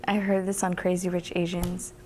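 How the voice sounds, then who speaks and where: A seventeen-year-old woman, a high school senior, answering interview questions in a matter-of-fact way. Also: little pitch movement, moderate pace, mellow, slight background noise.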